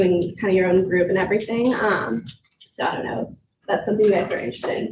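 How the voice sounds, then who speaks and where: A young woman giving a report at a student meeting, congested from a cold, talking casually with lots of "um"s. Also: medium pitch. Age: young adult. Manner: chatty, many "um"s and "kind of". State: congested, sick. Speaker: student government vice president. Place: meeting room. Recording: echoey room, far from mic, noisy.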